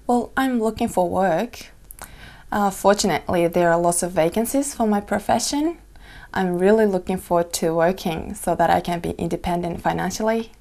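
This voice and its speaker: Low gravelly-voiced young woman candidate. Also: normal speed, dark tone. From Japan. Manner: simple careful phrasing with small grammar slips. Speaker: test candidate. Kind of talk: mock speaking exam answers.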